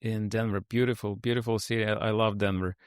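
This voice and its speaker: A man's voice: on the low side, level tone, fast talker, clean tone, no room sound, clean studio sound. A man hosting an interview podcast, reading a polished intro and then chatting with his guest, with many fillers and restarts. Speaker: podcast host.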